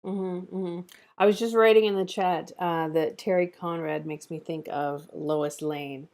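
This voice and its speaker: Female moderator, casual and conversational, lowish pitch, soft dark tone, online call. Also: fairly even intonation, a little slow. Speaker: table read moderator. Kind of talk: casual chat, relaying viewer comments.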